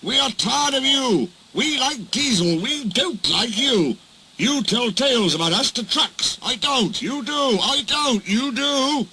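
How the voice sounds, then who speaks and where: A man's voice: extremely high pitch, expressive, extremely slow, hardly any pauses, sharp tone, pitched above the performer's own voice, full character voice, rough recording. A man voicing three haughty male steam engines who hiss and bicker childishly. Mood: hostile.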